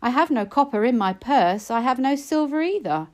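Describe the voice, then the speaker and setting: A woman's voice: high-pitched, lively intonation, normal speed, a little mellow, voice pushed up. A woman acting curious storybook maiden, tempted, eager, wondering, female. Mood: hasty, pleading.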